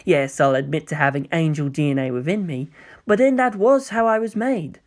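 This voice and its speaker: A man voicing a sly young angel-hybrid villain in a story, gentle, coy and theatrically musing. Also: fairly high pitch, normal speed, natural voice. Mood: smooth explaining.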